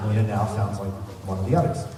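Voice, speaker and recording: A man's voice: lowish voice, fast talker, a crowd in the background. A man hosting a fan convention panel, talking informally in a rambling, enthusiastic way. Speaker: panel host. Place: large convention centre room. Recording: echoey noisy hall, distant microphone.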